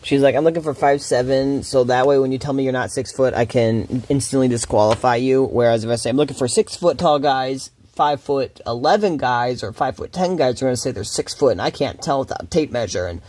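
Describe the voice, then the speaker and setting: A man's voice: mid-range voice, fairly quick, few pauses, a little mellow. A man gaming while reacting to a video, irritable and sarcastic, swearing often. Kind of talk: casual reaction chat.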